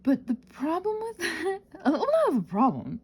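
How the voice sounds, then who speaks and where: Female, soft mellow tone with a slightly husky edge, highly varied intonation, playful rambling chat, self-deprecating. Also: moderate pace, slight background noise. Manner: expressive, acting out her own thoughts. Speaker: ASMR and audio content creator.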